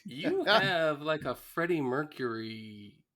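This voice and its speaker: A man's voice: fairly high pitch, big pitch swings, slow pace, bright, forceful tone. An American man co-hosting a podcast, chatting casually and with enthusiasm. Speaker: podcast co-host. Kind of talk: casual chat.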